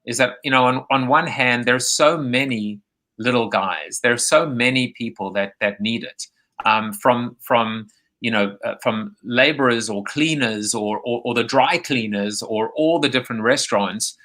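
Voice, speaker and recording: A South African man hosting a live video call show. His voice is bright and forceful, and he rambles with frequent restarts. He speaks at a middling pitch. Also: measured pace. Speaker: host. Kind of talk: live online interview show. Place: home, remote video call.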